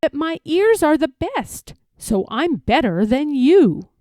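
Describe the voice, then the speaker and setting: A woman's voice: high voice, big pitch swings, takes it slowly, warm tone, dry recording, clean studio sound, pitched above the performer's own voice, heavily acted. A woman voicing a vain male rabbit from a storybook, bragging in a snappy, self-important way. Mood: smug bragging. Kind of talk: storybook read-aloud character voice.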